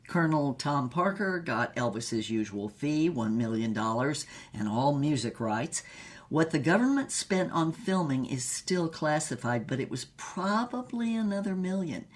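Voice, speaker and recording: A woman playing a worldly, brassy older former starlet who tells racy memoirs in a husky voice, wryly and unhurriedly, with dramatic pauses. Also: deep voice, lively intonation. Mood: wry, breezy. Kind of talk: comic scripted memoir narration.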